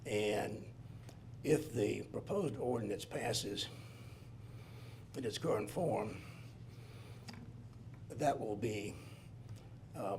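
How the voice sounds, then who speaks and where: A hoarse man addresses a city council during public comment, speaking in a measured, halting way and stopping to correct his dates. His voice sits on the low side. A faint hum of the chamber runs underneath. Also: darkish tone. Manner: halting, careful, pauses to correct himself.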